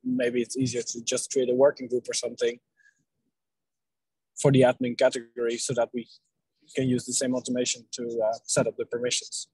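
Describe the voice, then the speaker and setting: A man with a husky, mid-pitched voice talks casually through technical matters in an online meeting. His intonation stays quite flat, and the sound is dry. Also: a little fast, no echo, low-quality recording. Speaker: meeting participant. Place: online group call.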